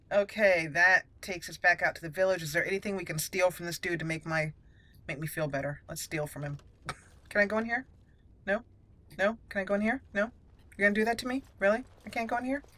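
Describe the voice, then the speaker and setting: A woman's voice: medium pitch, brisk, long pauses, a bit bright. A woman hosting a roleplay let's play, chatty and playful, thinking aloud as she goes. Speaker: gaming host.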